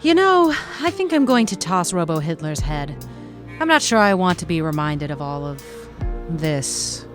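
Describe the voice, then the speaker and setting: A woman's voice: on the low side, very animated, normal speed, faint music behind. A woman playing a tough-minded, wry adventurer in an audio drama, speaking in a direct, dry way. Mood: disgusted, brisk.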